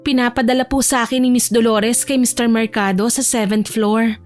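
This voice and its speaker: Woman acting a timid young female trainee, high-voiced. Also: fairly even intonation, normal speed, lightly acted. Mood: shy, polite. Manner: deferential, apologetic. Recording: music bed behind the voice.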